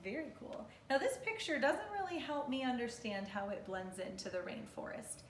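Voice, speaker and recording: A woman in her thirties, a kindergarten teacher reading aloud to young children in an expressive, instructive way and asking questions, recorded at home from a few metres away. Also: a little high, normal speed. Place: living room at home, indoors. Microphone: camera or phone a few metres away. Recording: roomy, distant mic, faint noise.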